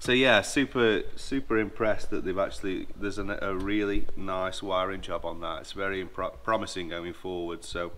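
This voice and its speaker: A man in his forties with a lowish voice talks through aircraft maintenance in a large hangar. He sounds casual and hands-on, explaining as he goes and often restarting his sentences. A faint hangar ambience sits under the camera-mic sound. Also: steady tone, moderate pace, few pauses, fairly bright tone, slight background noise. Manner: chatty, explaining as he works, with frequent restarts. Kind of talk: casual hands-on workshop walkthrough.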